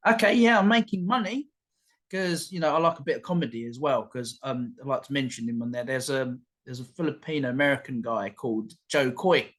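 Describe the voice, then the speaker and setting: A man's voice: medium pitch, a little fast, a bit bright. A man hosting a podcast over a video call, chatting casually and often restarting his sentences. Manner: rambling, repeats words and restarts, jokey asides. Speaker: podcast host. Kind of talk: casual conversational chat.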